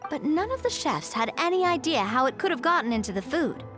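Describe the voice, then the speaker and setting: A friendly, knowing young woman in a video game. Her voice is high and her intonation animated as she talks casually. Soft music sits behind her. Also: brisk. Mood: explaining.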